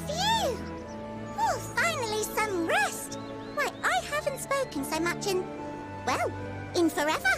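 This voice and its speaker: A woman voicing a small, excitable, chatty moon creature in a video game, chirpy and eager. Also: very high voice, a little slow, long pauses, exaggerated voice. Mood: excited, chatty. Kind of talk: scripted video game acting. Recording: loud music behind.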